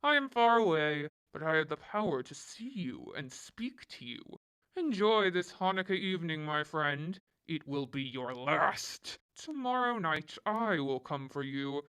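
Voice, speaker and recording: A woman voicing a monstrous male goblin king in a storybook reading, speaking slowly and threateningly. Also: deep voice, big pitch swings, fairly bright tone, clear, resonant tone, voice pushed down, full character voice. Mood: menacing. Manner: slow, looming, threatening. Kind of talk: storybook read-aloud.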